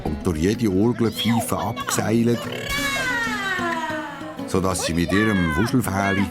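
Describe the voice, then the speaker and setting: A man narrates a children's story in a low-pitched voice. He has a Swiss German accent and delivers scripted storytelling, with music clearly present in the recording. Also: a little slow.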